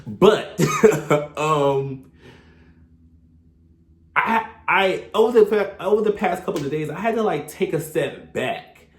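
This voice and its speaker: A man with a stuffy, congested voice from a cold, giving a chatty, opinionated recap commentary with sudden high exclamations. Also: expressive, takes it slowly, long pauses, roomy sound. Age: adult, past his thirties. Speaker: reality TV recap vlogger.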